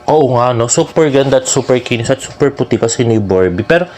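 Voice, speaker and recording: A Filipino man with an average-pitched voice and highly animated intonation. He talks casually like a showbiz fan vlogger, chatty and jokey. His commentary slips between Tagalog and English with a teasing tone. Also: brisk. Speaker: celebrity fan vlogger and host.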